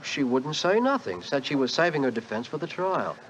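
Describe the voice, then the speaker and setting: Chatty English country police sergeant, played by an actor, muffled. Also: male voice, mid-range voice, very animated, fairly quick, close to an everyday voice. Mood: matter-of-fact. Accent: English, working-class. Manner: folksy, respectful, plain. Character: deferential, chatty country police sergeant, eager and plain-spoken. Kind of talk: 1940s radio acting. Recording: noisy dull 1940s radio recording.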